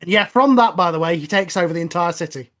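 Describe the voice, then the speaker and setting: A man's voice: high voice, fast, fairly bright tone. A man chatting casually through a film commentary with a wry, joking manner. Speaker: audio drama writer on cast commentary track.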